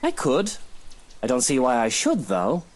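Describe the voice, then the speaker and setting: An actor playing a wealthy, breezy, condescending young British husband in a visual novel game. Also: male voice, mid-range voice, wildly swooping pitch, unhurried. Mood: dismissive.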